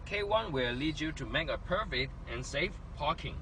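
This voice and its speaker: A man's voice: on the high side, lively intonation, normal speed, fairly bright tone, a little hiss or hum. A man giving a scripted product demonstration with non-native English phrasing.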